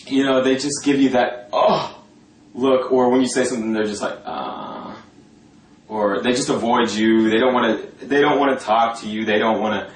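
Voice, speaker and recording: A man telling a personal story informally in a sardonic, gossipy, coarse-mouthed and animated way. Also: mid-range voice, moderate pace. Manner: animated, breaking often into laughter. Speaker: storyteller talking about a past religious community. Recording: roomy echo, distant mic, faint noise.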